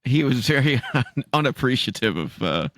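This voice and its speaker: A man co-hosting a casual podcast, stuffy and sniffly from allergies, loose and laughing, swooping high for emphasis. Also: very animated, moderate pace, a bit bright, no room sound, studio quality. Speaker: podcast co-host. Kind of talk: casual chat.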